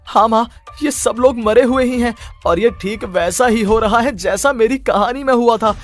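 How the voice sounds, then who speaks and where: Casting: frightened young male writer, played by a man, high strained pitch, stammering, pleading, for animated horror story. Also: normal speed, faint music behind. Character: panicked, frantic young male writer afraid of spirits.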